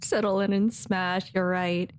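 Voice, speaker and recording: A woman's voice: mid-range voice, level tone, a little slow, a little hiss or hum. A woman giving casual live gameplay commentary, exclaiming and muttering to herself as she reacts. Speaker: game streamer. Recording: game music behind the voice.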